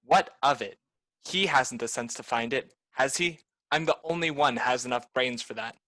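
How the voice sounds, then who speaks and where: A greedy, bitter butler who schemes for treasure, voiced by a man. His tone is bright and forceful, and the dry recording keeps him close. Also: mid-range voice, moderate pace, no room sound, lightly acted. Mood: scheming. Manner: contemptuous, pushy. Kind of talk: amateur radio play acting.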